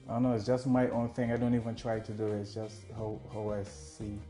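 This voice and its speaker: A man's voice: lowish voice, flat delivery, brisk, dark tone, faint music behind. A man with a Bajan accent giving a casual interview as a musician, informal and often rephrasing himself. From Barbados. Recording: roomy, echoing interview room.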